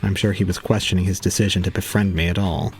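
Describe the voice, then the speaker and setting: A man playing a detached, resigned young student, narrating solemnly and calmly in an audio drama. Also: low-pitched, quick pace, faint music behind, close to an everyday voice. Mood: solemn, pitying. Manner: solemn, calm, unemotional. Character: detached, resigned young male student recounting his own ordeal. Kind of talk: scripted first-person audio drama narration.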